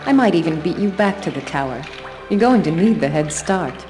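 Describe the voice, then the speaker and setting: An actress playing a young, dutiful and stubborn sorceress leader in a fantasy game, with a solemn, firm, formal delivery. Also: female voice, lowish voice, lively intonation, brisk, close to an everyday voice. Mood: firm, reassuring. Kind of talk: dramatic fantasy game cutscene acting. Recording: game music behind the voice.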